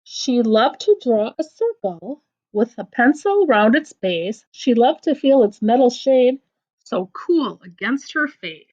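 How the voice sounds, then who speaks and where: A woman reading rhymes aloud to children like a teacher, in an expressive, deliberate, sing-song storytelling delivery, with dull lo-fi sound. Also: a little high.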